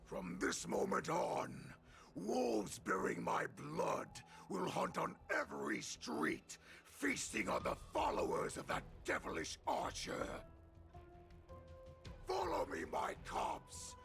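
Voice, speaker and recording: Savage wolf warlord, heavy gravel, wildly swooping pitch, grandiose, menacing, video game villain, music behind. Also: male voice, wildly swooping pitch, slow pace, fairly bright tone, heavily acted. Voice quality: gravelly, snarling. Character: savage wolf warlord rallying his pack to massacre.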